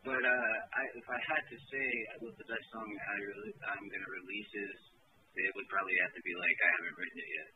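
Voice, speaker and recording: A man's voice: fairly low pitch, flat delivery, quick pace. Laid-back musician guy on a tinny line. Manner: laid-back, rambling, full of "like" and "man". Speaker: musician guest. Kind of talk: casual interview chat. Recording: tinny phone-like line with faint noise.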